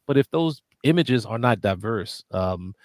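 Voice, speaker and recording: A man's voice: lowish voice, lively intonation, a little slow, darkish tone, dry recording, studio quality. A man hosting a radio interview, asking questions hesitantly with many fillers and repeated words. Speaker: radio show host, interviewer.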